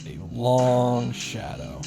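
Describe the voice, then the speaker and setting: Male streamer, mid-range voice, reads visual novel text aloud with live reactions, game music clearly behind him. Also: medium pitch, animated, very slow.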